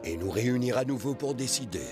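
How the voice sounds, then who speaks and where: An actor playing a dignified, wise animal father and leader in a cartoon, measured and solemn. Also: mid-range voice, lively intonation, close to an everyday voice. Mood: solemn, gentle. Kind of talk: cartoon dub acting. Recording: loud music under the voice.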